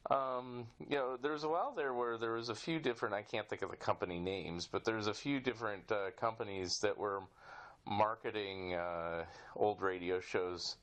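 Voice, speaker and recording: Male podcast host, middle-aged, lowish voice, casual and rambling interview style. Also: moderate pace, a bit bright.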